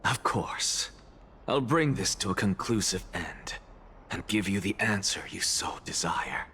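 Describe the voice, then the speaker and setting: An actor playing an arrogant, theatrical professor obsessed with truth, lecturing grandly and deliberately in a video game. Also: male voice, on the low side, animated, pushed and bright, slightly rough, full character voice. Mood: cool, bargaining. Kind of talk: dramatic video game voice acting.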